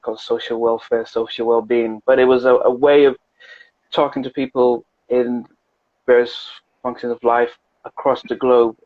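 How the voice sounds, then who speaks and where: A man hosting an interview chat, talking in a halting way with many restarts. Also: mid-range voice, flat delivery, muffled recording. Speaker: interview host.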